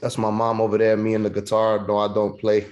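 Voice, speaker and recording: A man's voice: on the low side, completely flat delivery, fairly quick, a little mellow, muffled recording. A man from Puerto Rico, giving a casual, slangy sales training over a video call. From Puerto Rico, raised in New York City. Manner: casual and slangy, calls listeners "man" and "bro". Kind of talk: online sales training talk.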